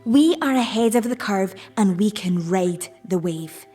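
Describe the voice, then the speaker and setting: Persuasive idealistic businesswoman, slow rhetorical speech with emphatic pauses, slightly distant over music. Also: mid-range voice, animated, warm tone, husky. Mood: visionary. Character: persuasive, idealistic businesswoman addressing her industry. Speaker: actor. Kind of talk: conference keynote speech in an audio play.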